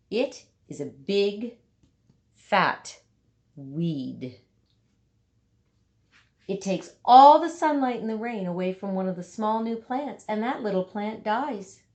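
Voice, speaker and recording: A woman reading a children's picture book aloud, with dramatic emphasis and deliberate pauses for young listeners. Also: medium pitch, sing-song, darkish tone. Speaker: storybook reader.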